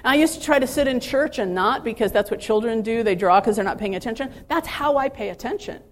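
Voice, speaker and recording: A woman's voice: on the high side, expressive, quick pace, slight background noise. A woman in her fifties giving a personal, faith-centered talk to staff, chatty and self-deprecating, with many fillers and restarts. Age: 50s. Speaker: speaker addressing ministry staff.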